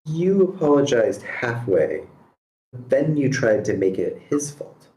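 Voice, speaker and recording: A man playing a stern, patient mediator in a script read, asking firm, measured questions over an online call. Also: mid-range voice, sing-song, warm tone. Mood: probing. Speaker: amateur actor reading a part. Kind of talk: screenplay table read, dramatic dialogue. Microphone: online meeting call. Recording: roomy, echoing home microphone.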